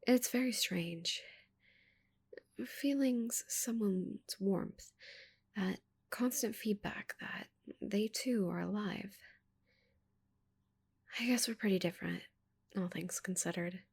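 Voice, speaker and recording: A woman plays a touch-starved, curious giant alien woman in an intimate ASMR roleplay. Her voice is soft and whispery, breathy with a light crackle of fry. She speaks hesitantly and awkwardly, tripping over her words in a flustered way. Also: mid-range voice, takes it slowly, long pauses, exaggerated voice. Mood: wistful, intimate.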